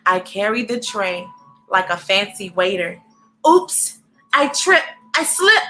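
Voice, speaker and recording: An animated, encouraging woman hosting a storybook read-aloud, speaking directly to children in an expressive way. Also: mid-range voice, slow, faint music behind.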